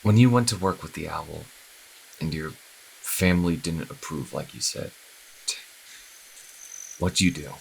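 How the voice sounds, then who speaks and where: A man playing a troubled, conflicted young priest in an audio drama, halting and brooding. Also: extremely low pitch, expressive, creaky, exaggerated voice, poor audio. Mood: hesitant. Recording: faint background noise.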